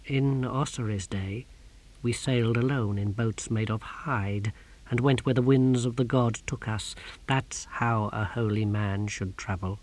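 Sinister male inquisitor, played by a narrator: low-volume, slow, measured, icily polite and pious storybook villain. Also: fairly even intonation, a little hiss or hum, close to an everyday voice. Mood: coldly pious. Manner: hushed, measured, menacingly courteous.

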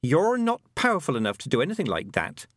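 A man voicing a stubborn, quick-witted nine-year-old girl in a storybook, plain-spoken and defiant. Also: fairly high pitch, wildly swooping pitch, no echo, studio quality, pitched above the performer's own voice. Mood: firm.

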